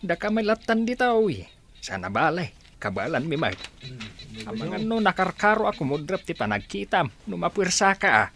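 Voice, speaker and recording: An animated, chiding Filipino neighbour man. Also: sits high, moderate pace, heavily acted. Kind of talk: Filipino radio drama acting.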